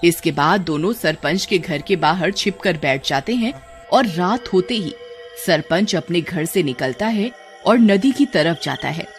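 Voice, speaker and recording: A narrator telling a cartoon horror story in an animated storytelling voice. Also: medium pitch, unhurried, faint music behind.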